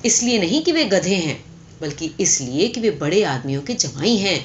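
A woman voicing an imperious, calculating young rich heiress in a story, firm and sharp as she dictates terms. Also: on the low side, expressive, lo-fi sound, close to an everyday voice. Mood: dismissive, cynical.

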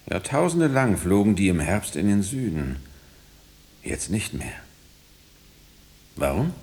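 Deep, creaky-voiced mysterious fugitive storyteller. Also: male voice, darkish tone, full character voice. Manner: halting, suggestive phrases, sometimes dropping to whispers. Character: mysterious injured man in hiding, insistent visionary storyteller. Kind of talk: radio play acting.

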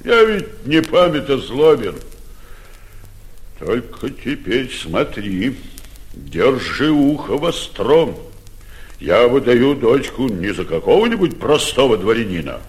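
An actor in a 1940s radio play, playing a gloating, vindictive town mayor with pompous, sarcastic, theatrical delivery. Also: male voice, on the high side. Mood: condescending, warning.

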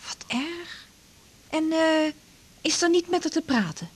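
An actress playing a sympathetic, gently curious colleague with a husky voice in a naturalistic radio drama. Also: female voice, extremely high pitch, lively intonation, takes it slowly, slight background noise, exaggerated voice. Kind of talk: naturalistic 1980s Dutch radio drama acting.

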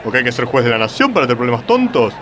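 A man's voice: medium pitch, big pitch swings, racing, lightly acted. Touchy Argentine psychoanalyst acted by a man, swooping intonation. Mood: defensive, exasperated. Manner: indignant, colloquial. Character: touchy male psychoanalyst, defensive and exasperated. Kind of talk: comic radio play acting. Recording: noisy simulated bus interior with chatter.

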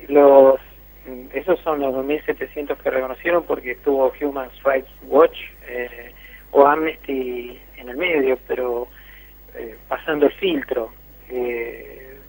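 A man's voice: medium pitch, steady tone, measured pace, vocal fry, darkish tone. A man with an Argentine accent reporting by phone for a radio interview, hesitant, with many fillers and restarts. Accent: Argentine Spanish. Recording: tinny phone line.

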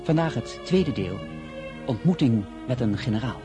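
Formal male announcer over loud music. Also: mid-range voice, lively intonation. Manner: measured and formal.

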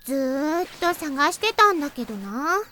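A really high, lilting anime teen girl for audio drama, playful n pouty, kinda lonely, swoops around a lot. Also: sing-song, normal speed, faint music behind, exaggerated voice. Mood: teasing, wistful. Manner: playful teasing, soft pouting delivery.

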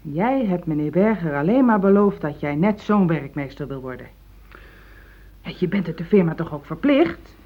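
An actress playing a pragmatic, pushy middle-aged wife, insistent and reproachful, in a radio play. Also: female voice, fairly low pitch, sing-song, normal speed. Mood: persuasive, goading. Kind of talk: Dutch radio play acting. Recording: thin, phone-like old radio play recording.

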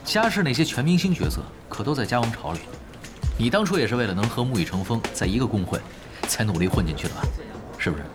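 A man playing a calm, sceptical veteran gamer in an audio drama, speaking in a matter-of-fact way. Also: mid-range voice, quick pace, close to an everyday voice. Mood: explaining, teasing. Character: calm, seasoned, sceptical male pro gamer. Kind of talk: Chinese audio drama dialogue. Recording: music clearly behind.

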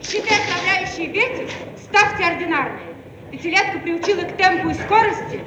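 An actress playing a brisk, commanding woman from the future in a theatrical radio play, calling out orders in a declamatory way. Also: extremely high pitch, a crowd in the background. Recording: echoey, noisy archival radio-play recording.

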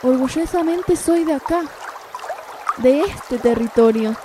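Slow woman reciting poetry. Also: high voice, noisy background. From Wallmapu, Patagonia.

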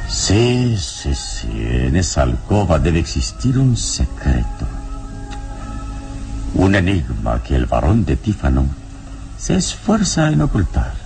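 Very deep-voiced wise radio hero. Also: male voice, wildly swooping pitch, music in the background, heavily acted. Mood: pensive. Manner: measured, sententious, philosophical. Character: calm, wise heroic adventurer, adult man, philosophical mentor. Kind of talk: classic radio drama acting.

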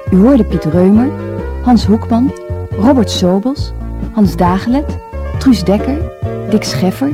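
A woman announcer reading scripted closing credits for a radio drama. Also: medium pitch. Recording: music behind the voice.

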